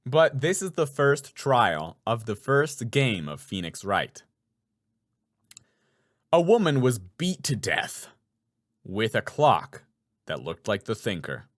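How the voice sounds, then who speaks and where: A man's voice: medium pitch, sing-song, slow pace, long pauses, dry recording, clean studio sound. A man giving casual let's play commentary, musing aloud in an informal way. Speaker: voice actor playing through a game.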